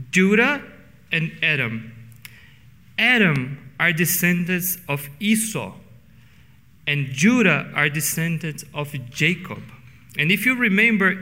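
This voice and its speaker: A middle-aged Brazilian pastor preaches in English with a Portuguese accent, drawing out key words for weight. His voice is bright and sits a little high for a man. It rings out with the long reverb of a church hall. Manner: earnest, slows down for emphasis. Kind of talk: sermon. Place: church sanctuary.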